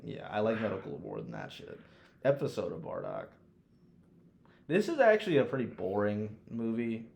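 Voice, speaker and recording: A man talking casually as a podcast host, blunt and quick to swear as he gives his opinions. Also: fairly low pitch, wildly swooping pitch, vocal fry, a little mellow. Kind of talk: casual chat, ranking films.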